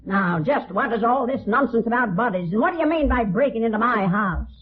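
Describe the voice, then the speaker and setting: Female, haughty villainess of vintage radio: imperious, scolding, slightly hoarse-edged, muffled old recording. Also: fairly high pitch, expressive, a little fast. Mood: indignant. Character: haughty, domineering villainous older woman, indignant and cold. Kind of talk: 1950s radio villain acting.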